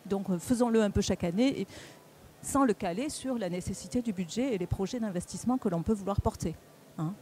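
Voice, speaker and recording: A speaker giving a public presentation at a round table, explaining didactically in a halting way, with repeats and self-corrections. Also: mid-range voice, a little mellow. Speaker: conference speaker presenting on local government finances.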